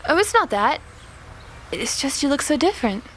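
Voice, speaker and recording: Gentle young sister, played by a woman: sensible, trusting, fairly high voice, sincere audio drama delivery. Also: very animated, normal speed, a little hiss or hum. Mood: surprised.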